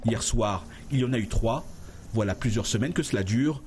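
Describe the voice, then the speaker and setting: A man reading a news report voice-over in a measured, even tone. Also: mid-range voice, slight background noise.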